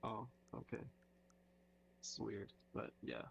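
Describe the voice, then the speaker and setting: A man's voice: fairly low pitch, very animated, slow pace, vocal fry, a bit bright, slight background noise. A man on voice chat through a handheld console's mic, easygoing and hesitant, restarting his words. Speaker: friend on voice chat. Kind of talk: casual gaming chat.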